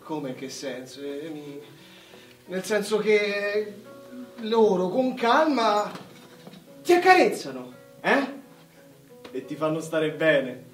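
Actor as a high-pitched, worldly young Italian soldier. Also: male voice, sing-song, slow pace, pauses often, full character voice. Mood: teasing, wistful. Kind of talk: live stage or radio war drama acting. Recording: roomy, distant microphone, music behind.

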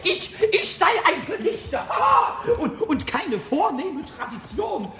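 A man voicing a cheeky, hot-tempered, childlike little kobold in a children's radio play, squeaky, stuttering and repeating words. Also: takes it slowly, heavily acted. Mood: outraged. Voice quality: shrill, squeaky. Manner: stuttering excited outbursts, repeats words, cackles. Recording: noisy old radio play recording.

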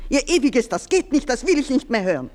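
An actress playing an imperious older baroness who runs her household with a sharp, commanding tongue in a radio play. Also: sits high, big pitch swings, fairly quick.

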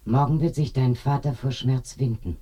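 An actress playing an eerie village widow in a radio drama, confiding and animated, with a dark husky voice that sinks to murmurs. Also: female voice, a bass voice, normal speed. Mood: tender, grave. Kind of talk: binaural radio drama acting.

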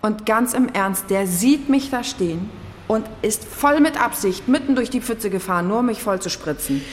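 A woman's voice: medium pitch, expressive, normal speed, a little hiss or hum, close to an everyday voice. An actress playing a chatty, blunt, big-hearted cleaning woman in an audio drama, coarse and garrulous. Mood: indignant. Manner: garrulous, coarse, tumbling from topic to topic.

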